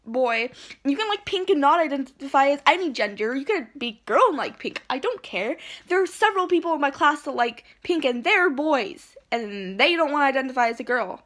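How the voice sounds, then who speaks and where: A woman's voice: high-pitched, a bit bright. A woman ranting indignantly into her phone at home, rambling and restarting as she argues. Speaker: vlogger. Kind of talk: heated rant to camera. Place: at home, indoors.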